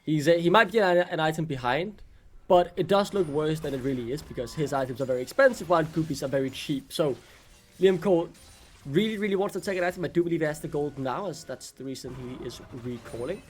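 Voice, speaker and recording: Danish guy doing live league casting, higher voice, faint hiss behind. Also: expressive, fast. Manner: chatty, quizzing his co-caster. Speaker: esports caster. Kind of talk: live esports commentary.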